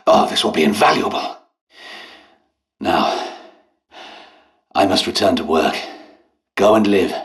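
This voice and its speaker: An actor playing a polite, dutiful, harried English doctor and scientist in a video game, with a British accent and a hesitant, genteel manner. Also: male voice, deep voice, slow, pauses often, a bit bright. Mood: courteous, busy. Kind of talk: scripted game character dialogue.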